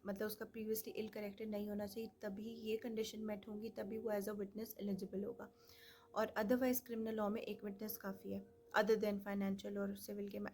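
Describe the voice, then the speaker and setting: A woman's voice: medium pitch, warm tone, slight background noise. A woman with a Pakistani accent explaining law in an informal lecture style, mixing in English legal terms.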